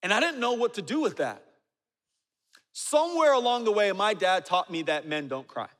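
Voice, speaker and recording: A man in his forties preaching a sermon, telling stories with humor and dropping low and quiet for asides. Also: very animated, moderate pace. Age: 40s. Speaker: pastor. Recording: reverberant hall.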